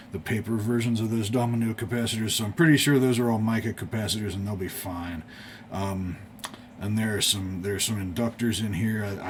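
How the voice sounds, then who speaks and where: A man giving casual, unscripted workbench commentary as a hobby video host, thinking aloud in a folksy, tentative, rambling way. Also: lowish voice. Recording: clearly audible background noise.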